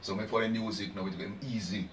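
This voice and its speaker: A man from Dominica speaks quickly in a Jamaican-influenced Caribbean accent, talking loosely about his music as a guest in an interview. The sound is roomy and set back from the mic, with a faint hum of ambience behind him. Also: fairly low pitch, fairly even intonation, distant mic. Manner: laid-back, repeats "you understand", dialect speech. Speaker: dancehall artist guest. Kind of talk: casual interview talk.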